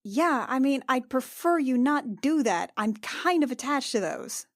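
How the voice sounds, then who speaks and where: A woman playing an awkward, guarded but protective warrior in a fantasy game, speaking in a halting, wry, casual way. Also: sits high, lively intonation, dry recording, studio quality, pitched above the performer's own voice. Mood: flustered.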